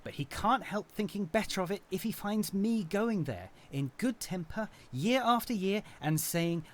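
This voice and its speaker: Casting: a jovial kindly nephew, lively and expressive. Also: male voice, sits high, normal speed. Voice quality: warm, laughing, jovial. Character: cheerful young man, good-natured nephew.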